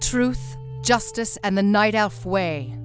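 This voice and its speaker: A woman voicing a stern, haughty female elf warden in a video game, commanding and deliberate. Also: mid-range voice, lively intonation. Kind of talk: video game character acting. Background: music.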